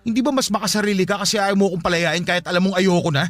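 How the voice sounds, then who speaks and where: Exasperated young father for radio drama, male actor speaking fast in a fairly high male voice, blunt and defensive. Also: close to an everyday voice. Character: man leaving his partner, blunt, exasperated young father. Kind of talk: Filipino radio drama acting.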